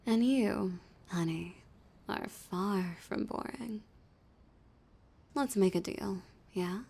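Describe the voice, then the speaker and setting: Woman acting a slow, husky, seductive villainess. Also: mid-range voice, warm tone, full character voice. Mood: flirty, pleased. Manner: slow, teasing, often dropping into whispers. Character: flirty, dominant, teasing female villain holding a hostage. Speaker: audio roleplay voice actor. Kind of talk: intimate audio roleplay acting.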